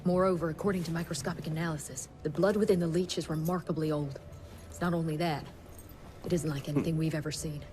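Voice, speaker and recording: A woman's voice: sits low, steady tone, fast, noisy background, close to an everyday voice. An actress playing a proud, formal woman scientist in a video game, speaking in a clipped and coldly polite way. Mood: coolly explanatory. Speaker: doctor and head of an agency cell. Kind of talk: video game cutscene acting. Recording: music behind the voice.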